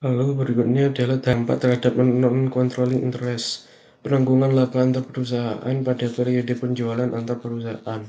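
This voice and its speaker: A young man giving an explanatory slide presentation in a stilted, halting, read-out manner. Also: medium pitch, a little mellow. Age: young adult. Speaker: university student presenter.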